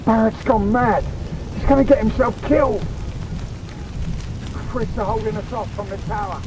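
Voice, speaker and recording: A man playing an excitable Allied soldier, calling out in battle in a war video game. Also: high voice, normal speed, background noise, close to an everyday voice. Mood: alarmed. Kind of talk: shouted game battle dialogue. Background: music, rain.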